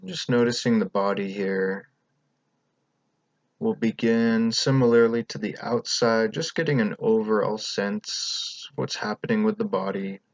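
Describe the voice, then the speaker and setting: A man leading a guided meditation as the teacher, speaking in a calm, gentle, unhurried way with pauses between instructions. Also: on the low side, no echo, lo-fi sound.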